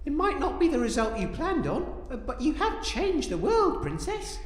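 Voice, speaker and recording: A man playing an excitable, kind-hearted, slightly dim hero, earnest and florid, in a comic audio drama. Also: very high voice, normal speed, reverberant, in a large hall, heavily acted. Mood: earnest, soothing.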